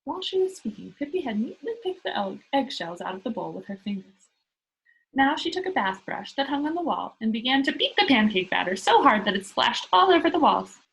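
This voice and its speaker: A woman narrates a children's book aloud with plenty of expression. Her voice is high and somewhat breathy or husky, and it rises and falls widely through the sentences. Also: sing-song, a little fast, fairly bright tone, low-quality recording. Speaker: storybook reader.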